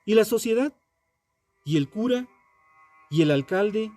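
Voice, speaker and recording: Man, higher-pitched voice, very slow pace, solemn dramatic narration, deliberate phrasing, music behind. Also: animated, mellow, no echo. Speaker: true crime podcast narrator. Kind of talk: dramatic scripted true-crime narration. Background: background music.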